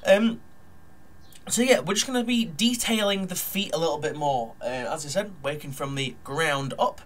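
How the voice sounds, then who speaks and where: A man's voice: fairly high pitch, moderate pace, fairly bright tone, a little hiss or hum. A man with a nasal, blocked-up voice from a cold, chatting casually at his desk while narrating a model build, full of ums and uhs. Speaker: hobby model builder vlogger.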